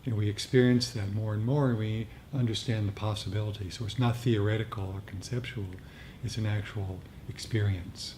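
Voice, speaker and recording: Low-voiced man, reflective, noisy recording. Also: fairly even intonation, brisk, a little mellow. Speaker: meditation group leader. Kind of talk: unscripted reflective talk on meditation practice. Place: meditation group meeting room. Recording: noisy live room recording.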